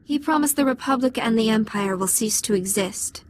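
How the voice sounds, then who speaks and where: An actress playing a probing, solemn alien councillor in a video game, speaking in a formal, pointed way. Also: female voice, a little high, moderate pace, a little hiss or hum, natural voice. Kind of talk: dramatic video game acting.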